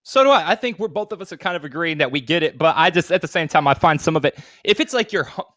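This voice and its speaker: A man's voice: fairly high pitch, animated, quick pace, fairly bright tone, dry recording, studio quality. A man co-hosting a podcast, chatting casually and telling stories in an informal, sweary way. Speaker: podcast co-host. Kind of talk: casual chat and reading a story aloud.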